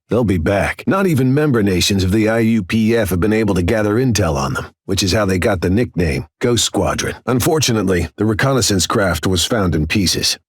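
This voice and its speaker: An actor playing a stern, composed air force commander, giving a formal, measured mission briefing in a video game with an authoritative delivery. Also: male voice, on the low side, slightly rough, no room sound, studio quality. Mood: grave, resolute. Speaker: military briefing officer.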